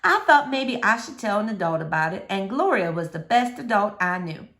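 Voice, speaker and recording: A woman's voice: mid-range voice, very animated, moderate pace, a bit bright. A woman reading a children's novel aloud as a storyteller addressing young listeners. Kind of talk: expressive children's novel narration.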